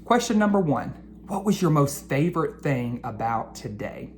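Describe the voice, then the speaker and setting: A woman educator talking to children after a storybook read-aloud, deliberate and earnest, addressing them directly. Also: low-pitched, sing-song, darkish tone, a little hiss or hum.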